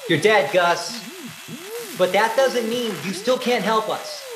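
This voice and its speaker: An actor in a radio play playing a purposeful government agent running a secret experiment. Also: male voice, high voice, expressive, slow pace, crowd noise. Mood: persuasive. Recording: echoey room.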